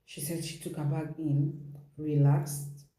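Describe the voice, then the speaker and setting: A woman's voice: deep voice, flat delivery, takes it slowly, warm tone. A woman with a Nigerian accent telling a dramatic story as a host, emphatic and repeating phrases for effect. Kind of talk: dramatic storytelling, retelling someone's account. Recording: echoey room.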